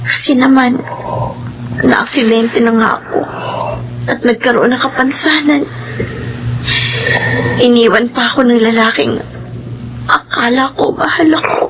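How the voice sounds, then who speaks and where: An actress playing a wounded, heartbroken young rich daughter in a melodrama, plaintive and earnest. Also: female voice, on the high side, steady tone, measured pace, pauses often, lo-fi sound, noisy background. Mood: sorrowful. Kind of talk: Filipino melodrama dialogue.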